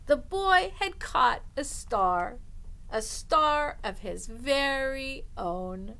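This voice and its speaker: High-voiced woman reading a children's storybook. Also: expressive, bright, forceful tone, poor audio. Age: middle-aged. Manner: deliberate, drawing out phrase endings for young listeners. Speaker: storytime host reading a picture book to children. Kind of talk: expressive children's storybook read-aloud. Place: small indoor room lined with bookshelves.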